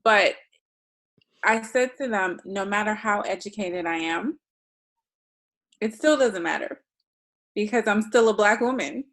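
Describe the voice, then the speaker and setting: A woman's voice: mid-range voice, measured pace, long pauses, a bit bright. A woman speaking thoughtfully as a podcast guest, often restarting her sentences. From United States. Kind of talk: reflective casual conversation.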